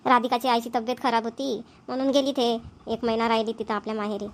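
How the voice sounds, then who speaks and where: A woman's voice: on the high side, steady tone, quick pace, close to an everyday voice. A woman voicing a doting, chatty village mother-in-law and grandmother in a cartoon comedy, with a rural Maharashtrian Varhadi accent. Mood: delighted, chatty. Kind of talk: Marathi cartoon comedy voice acting.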